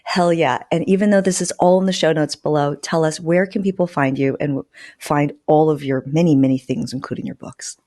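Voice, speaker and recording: A woman's voice: deep voice, brisk, dark tone. A woman hosting a podcast, interviewing casually in an enthusiastic, affirming way. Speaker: podcast host.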